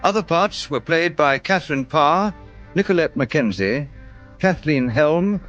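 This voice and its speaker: A male radio announcer reading closing credits in a slow, measured, formal manner. His voice is fairly high with lively intonation. The sound is dull and lo-fi, with faint music underneath.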